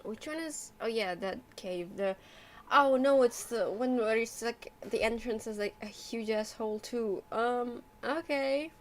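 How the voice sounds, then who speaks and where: A woman's voice: a little high, unhurried, hardly any pauses. A woman streaming a game with casual, joking commentary and wry, slangy asides.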